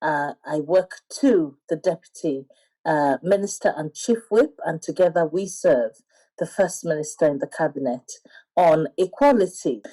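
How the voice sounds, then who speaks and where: Woman, interview account, lowish pitch, very mellow timbre. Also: a little slow, poor audio. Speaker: government equality adviser being interviewed. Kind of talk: spoken interview, personal account.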